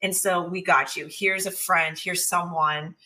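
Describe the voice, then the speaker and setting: A woman talking casually and candidly about personal experience, telling it as an animated story and voicing her inner dialogue. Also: lowish voice, unhurried. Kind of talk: casual, confessional conversation.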